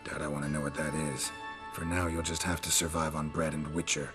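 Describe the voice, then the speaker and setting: A man voicing a gruff, dry-witted monster hunter in a video game, deadpan and laconic. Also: a bass voice, brisk, over music. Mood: dry, teasing.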